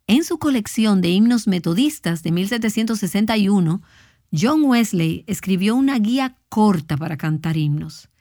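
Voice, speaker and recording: A woman's voice: mid-range voice, expressive, moderate pace, mellow, dry recording, studio quality. A woman speaking as a Bible teacher, giving a devotional talk in an earnest, exhorting manner.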